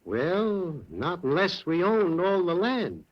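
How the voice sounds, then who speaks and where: An actor playing a hesitant, stammering general manager in an old radio drama. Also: male voice, sits high, big pitch swings, slow pace, heavily acted. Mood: uneasy. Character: nervous evasive male mill manager. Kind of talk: 1940s radio acting. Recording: dull old radio recording.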